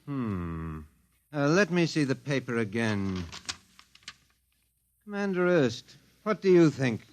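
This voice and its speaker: A slow, deliberate ship's captain. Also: male voice, medium pitch, sing-song, a little hiss or hum. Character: ship's captain, cautious, authoritative older man. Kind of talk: 1950s radio drama acting.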